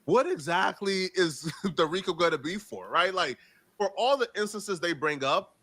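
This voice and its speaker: A man's voice: sits high, expressive, moderate pace, sharp tone. A man giving casual, opinionated news commentary in a slangy, conversational, incredulous manner.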